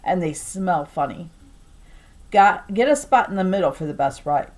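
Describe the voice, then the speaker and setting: A woman's voice: lowish voice, animated, normal speed, a little mellow, close to an everyday voice. A woman voicing a weary, firm young mother in a children's novel, guarded and mildly ordering as she talks to her boy.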